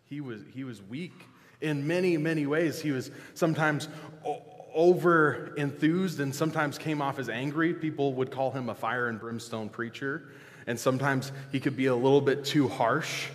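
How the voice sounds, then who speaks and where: A male pastor giving a casual, joking sermon, often restarting and filling pauses with "um". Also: medium pitch. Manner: relaxed, joking, halting with fillers. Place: church sanctuary. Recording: long reverb of a church hall.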